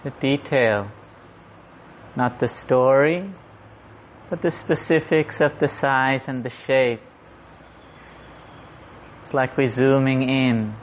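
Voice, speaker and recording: A man guiding a meditation in a gentle, unhurried manner, leaving long pauses. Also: medium pitch, fairly even intonation. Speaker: meditation guide. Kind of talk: guided meditation. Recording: muffled audio with clear background noise.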